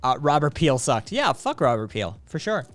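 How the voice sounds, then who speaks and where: A man's voice: mid-range voice, sing-song, moderate pace. A man in his late thirties chatting on a live stream in a loose, rambling way, swearing freely and filling gaps with ums. His voice sits in a middle range. Faint music plays underneath. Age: late 30s. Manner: profane, rambling, laid-back with frequent fillers. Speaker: streamer host. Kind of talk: casual live-stream chat.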